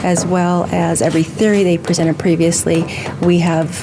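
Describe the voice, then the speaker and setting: A woman's voice: fairly low pitch, flat delivery, moderate pace, over music. A woman speaking formally as an attorney in an interview soundbite. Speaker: defense attorney. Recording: noisy location interview clip.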